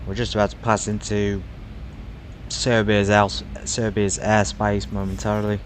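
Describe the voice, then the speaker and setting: A man's voice: lowish voice, completely flat delivery, a little slow, a little hiss or hum. A man giving casual, musing commentary while streaming a flight simulator, with frequent fillers.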